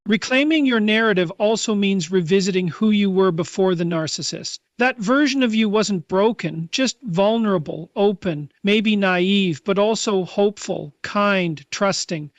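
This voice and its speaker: A man delivering a scripted motivational speech, speaking earnestly and in measured phrases straight to the listener. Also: high voice, no room sound.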